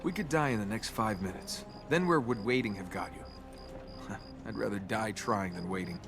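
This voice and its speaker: A man's voice: on the low side, normal speed, natural voice. Young male rogue lead for a game man acting, flippant, impatient, sarcastic, music underneath. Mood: wry, challenging. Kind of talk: video game character dialogue. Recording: music behind the voice.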